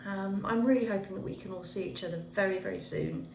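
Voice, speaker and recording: A woman's voice: mid-range voice, quick pace, a little mellow. A woman reading a children's picture book aloud expressively, with dramatic emphasis on key words. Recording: echoey room with faint background noise.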